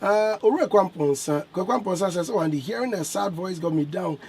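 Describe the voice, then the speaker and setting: A man's voice: high voice, expressive, normal speed, a little mellow, slight background noise. A man hosting a morning radio show, playful, reading out listener messages to his guest. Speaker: radio host.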